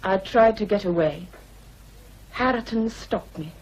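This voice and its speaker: An actress playing a frightened young gentlewoman held captive in a radio drama, earnest and pleading. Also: mid-range voice, a little slow, husky, rough recording.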